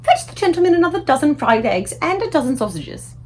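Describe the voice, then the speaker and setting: A woman's voice: sits high, sing-song, fast, a little mellow, slight background noise, pitched above the performer's own voice. A woman playing a gracious, commanding storybook queen with a stately, politely imperious manner. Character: regal, gracious queen, puzzled but commanding.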